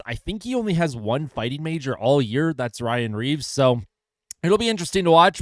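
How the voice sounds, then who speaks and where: A man's voice: medium pitch, clear, resonant tone, no echo, clean studio sound. Chatty young man losing his voice. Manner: chatty, with lots of filler words. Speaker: hockey channel host. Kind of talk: casual pre-game commentary.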